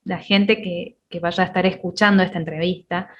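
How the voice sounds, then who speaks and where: A woman's voice: on the low side, steady tone, normal speed, fairly bright tone, highs cut off. A woman interviewing a guest, asking questions hesitantly and searching for words with fillers.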